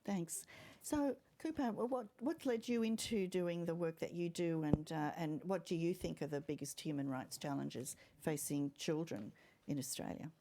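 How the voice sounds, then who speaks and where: A woman moderating a panel discussion, introducing guests and asking questions in a measured, slightly hesitant, courteous way. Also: lowish voice, warm tone, slight background noise.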